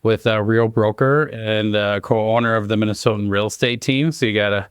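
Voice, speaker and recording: A man's voice: on the low side, steady tone, moderate pace, clear, resonant tone, no echo, studio quality. An American-accented man hosting a casual interview, conversational and affirming as he asks questions. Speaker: podcast host, interviewer.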